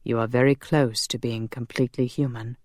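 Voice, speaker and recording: A narrator voicing a calm male angel who collects souls, solemn and measured, in an audiobook. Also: mid-range voice, no echo, studio quality, pitched below the performer's own voice, natural voice.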